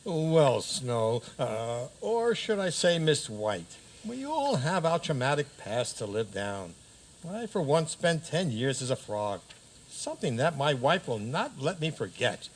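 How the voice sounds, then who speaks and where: A man's voice: medium pitch, sing-song, a little slow. An actor playing a pompous, easily sidetracked fairy tale king in a formal, declamatory community radio play. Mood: aggrieved, rambling. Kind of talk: community radio theater acting. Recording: faint background noise.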